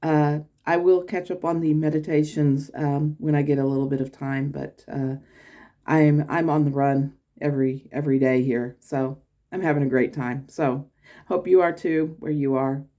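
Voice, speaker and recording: A woman's voice: steady tone, moderate pace, warm tone. An American woman telling a casual travel story in her vlog, rambling with fillers and restarts. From United States. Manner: acts out quoted people in a higher voice. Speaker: channel host.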